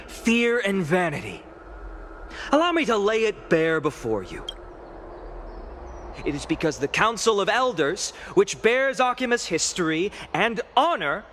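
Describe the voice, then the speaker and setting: A man's voice: extremely high pitch, a bit bright, slight background noise, heavily acted. An actor playing a fervent young male demigod giving an impassioned, rousing speech in a game cutscene. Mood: accusing. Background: game music.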